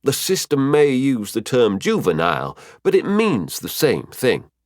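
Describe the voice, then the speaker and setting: Man voicing a cheerful, high-pitched female spirit mentor. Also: very animated, unhurried, a little mellow, no room sound, clean studio sound. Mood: explaining. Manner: chatty, explanatory, fond. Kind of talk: audiobook character voice.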